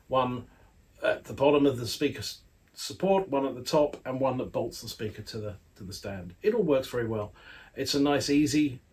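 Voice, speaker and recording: A man's voice: mid-range voice, a little mellow. A man, an audio magazine editor, giving a casual spoken product review in a chatty, rambling way with playful asides.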